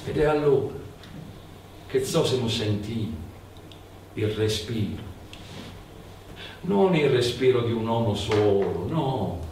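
Guy telling a folk tale like on stage, slow and dramatic, really echoey and a bit far from the mic. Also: mid-range voice, expressive, a little mellow. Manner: dramatic, incantatory, repeats phrases. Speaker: storyteller and stage actor. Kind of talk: theatrical folk-tale narration. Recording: roomy, distant mic, faint background noise.

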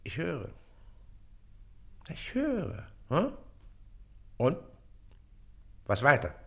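An actor in a German radio play playing a pompous, impatient Prussian magistrate who talks in an officious, dismissive way. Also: male voice, fairly high pitch, huge pitch swings, extremely slow, creaky, exaggerated voice. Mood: bored, dismissive.

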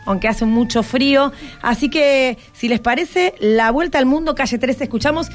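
Argentine woman hosting radio, slightly high voice. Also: normal speed. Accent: Argentine, Buenos Aires. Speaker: radio host. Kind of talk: casual radio chat. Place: radio studio.

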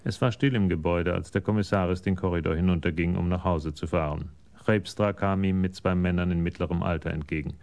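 Male narrator for radio crime drama: deep register, measured, sober scripted storytelling. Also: steady tone, gravelly, a little mellow.

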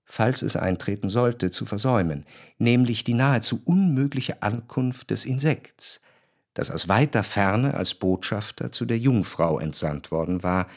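A refined, reflective memoirist narrates a literary radio play. His voice sits on the low side and is recorded very dry. He phrases long sentences in a measured, deliberate way, as a careful observer. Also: creaky, no echo. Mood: reflective, observant.

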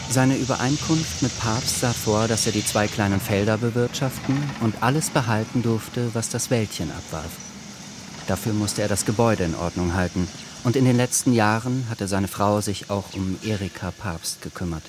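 An actor playing a sober literary narrator in a radio drama, telling the story in a measured, dry manner. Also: male voice, on the low side, background noise, lightly acted.

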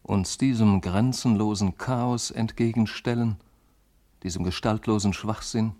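A weary, disdainful man with a low voice performed by a male actor broods in a radio drama. He declaims earnestly, in measured literary phrases. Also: fairly even intonation, mellow, lightly acted. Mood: bitter, musing. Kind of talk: literary radio play monologue.

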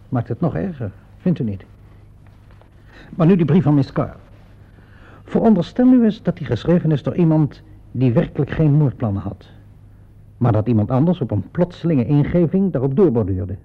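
Casting: courteous but persistent inspector, husky mid-range voice, measured questioning, dull old radio recording. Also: male voice, mid-range voice. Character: polite, persistent, composed male police inspector. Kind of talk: radio play acting.

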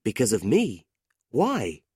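A narrator voicing an earnest, troubled young gentleman teacher in a storybook, polite and sincere. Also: medium pitch, wildly swooping pitch, takes it slowly, breathy edge, dry recording, clean studio sound, exaggerated voice. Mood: confused.

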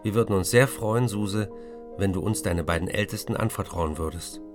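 A man's voice: fairly low pitch, normal speed, over music, lightly acted. A man reading as a weary, wry postwar writer. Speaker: actor reading letters as the writer. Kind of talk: radio drama letter reading.

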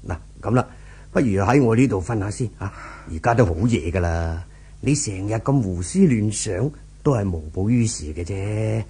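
Low, mellow, husky older uncle. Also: male voice, expressive, normal speed, heavily acted. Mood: consoling, weary. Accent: Hong Kong Cantonese. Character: kindly, consoling older family elder, unhurried. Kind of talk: 1970s radio drama acting.

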